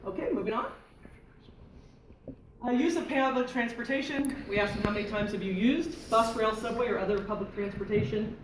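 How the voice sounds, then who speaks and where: A woman's voice: fairly high pitch, fairly quick. A woman presenting survey results to a meeting, chatty and laughing often. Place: meeting room. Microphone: room mic from afar. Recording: roomy, distant, noisy room recording.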